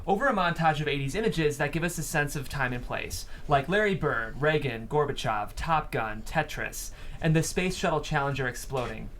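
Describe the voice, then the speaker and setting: A man with a fairly high voice reads screenplay stage directions aloud as host and narrator. It is a studio recording with faint room noise. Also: animated, moderate pace, fairly bright tone.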